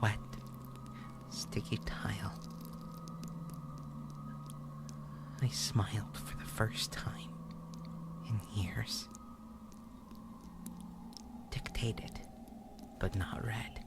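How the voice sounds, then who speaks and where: A man voicing a disturbed, obsessive narrator of a horror story, speaking intensely and deliberately in a dramatic first-person reading. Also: fairly low pitch, little pitch movement, in a whisper. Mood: eerie relief. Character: disturbed obsessive man recounting mutilating his own eye. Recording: clear background noise with hum.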